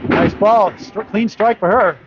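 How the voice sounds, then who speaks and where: Bowling announcer guy, kinda high voice, just reads scores n stats, loud noisy alley behind him. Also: a little slow. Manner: matter-of-fact, reading scores and stats. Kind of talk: live sports commentary. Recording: dull lo-fi sound with loud alley noise.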